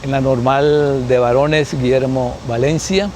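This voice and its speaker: A man's voice: mid-range voice, steady tone, measured pace, darkish tone, slight background noise. A man in his seventies from Colombia, a guest sociologist in an interview, answering unhurriedly, thinking aloud with restarts and frequent tag questions. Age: 70s.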